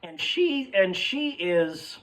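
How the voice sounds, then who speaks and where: A young man with a hoarse, cracking voice telling a rambling, sidetracked story in casual chat, swearing freely and calling his listeners folks. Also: high-pitched, sing-song, slow, a bit bright, distant mic, low-quality recording. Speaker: sports fan vlogger.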